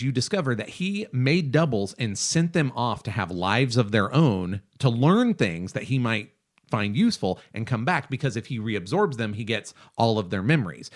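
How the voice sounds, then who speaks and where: A man co-hosting a podcast, casually discussing and explaining his opinions in a review style. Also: medium pitch. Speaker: podcast co-host.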